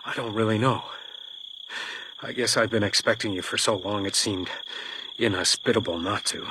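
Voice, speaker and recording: A man's voice: fairly low pitch, fairly even intonation, moderate pace, background noise, close to an everyday voice. An actor playing a stoic, blunt male detective in a radio crime drama, with dry, understated delivery. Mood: grim, resigned.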